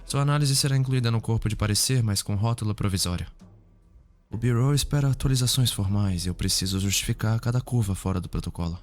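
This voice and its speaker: A man's voice: lowish voice, fast talker, natural voice. A man with a Brazilian accent playing a businesslike, formal forensic investigator weary of bureaucracy in an ASMR roleplay. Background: background music.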